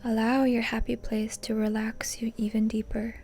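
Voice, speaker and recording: A woman leading a guided meditation in a soft, breathy, often near-whispered voice, calm and gently instructing. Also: fairly high pitch, moderate pace, soft background music. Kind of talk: soothing guided meditation narration.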